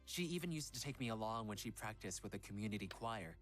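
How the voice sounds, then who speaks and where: A man voicing a gentle, earnest young pop idol in a visual novel game, soft-spoken and a little hesitant. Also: lowish voice, animated, fast talker, faint music behind, natural voice. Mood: wistful, nostalgic. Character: gentle young male Korean pop idol, devoted fiancé.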